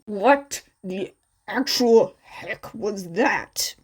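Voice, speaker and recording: A woman's voice: mid-range voice, extremely slow, husky. A woman voicing a brash, incredulous teenage boy with a blunt, demanding manner, in a fan fiction story reading.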